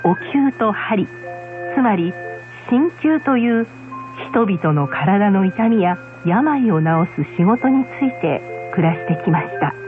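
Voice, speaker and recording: A woman narrating a folk tale in a measured, scripted storytelling style. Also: medium pitch, expressive. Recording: muffled radio recording, music behind. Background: music.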